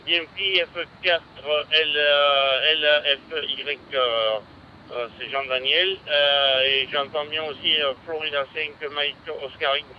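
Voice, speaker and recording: A man's voice: on the high side, fairly even intonation, takes it slowly. Courteous man on a muffled radio link. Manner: courteous, procedural. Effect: voice heard over radio. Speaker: amateur radio operator. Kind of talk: radio contact report. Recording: dull radio link, faint noise.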